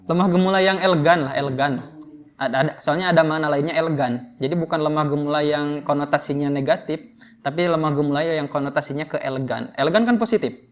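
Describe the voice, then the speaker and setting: A man with an Indonesian accent teaching Arabic grammar informally, explaining and prompting students with questions. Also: on the high side. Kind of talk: interactive lesson, explaining and quizzing students.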